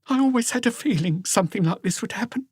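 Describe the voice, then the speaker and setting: A man voicing an anxious, grieving woman in her forties, gentle and hesitant, in an audiobook. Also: sits high, expressive, fast, slightly rough, dry recording, studio quality, pitched above the performer's own voice. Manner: hesitant, apologetic.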